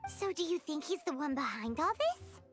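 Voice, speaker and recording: A woman voicing a small, childlike fairy companion in a video game, with a squeaky voice and an eager, inquisitive manner. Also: sing-song, normal speed, a bit bright, soft background music. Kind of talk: English video game voice acting.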